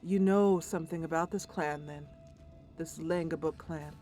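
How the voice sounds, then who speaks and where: A woman's voice: sits low, steady tone, moderate pace, dark tone, soft background music, lightly acted. A woman voicing a curious, hopeful female adventurer in a fantasy roleplay, thoughtful and earnest.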